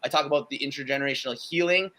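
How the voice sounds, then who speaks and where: A man's voice: mid-range voice, racing, pushed and bright. A man whose first language is Cantonese, talking casually as a guest on a video call, enthusiastic and rambling with lots of "like" and "um". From Canada. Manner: enthusiastic, rambling with many fillers. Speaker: guest speaker. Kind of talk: casual chat. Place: home room.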